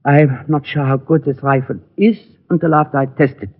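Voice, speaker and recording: A man playing a small, polite German gunmaker in his sixties in a radio drama, speaking halting, formal English with a German accent. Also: a little high, steady tone, natural voice. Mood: earnest, worried. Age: 60s. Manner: halting, formal, foreign phrasing. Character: small, polite elderly German immigrant gunmaker seeking help. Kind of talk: 1940s radio drama acting. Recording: tinny vintage radio recording.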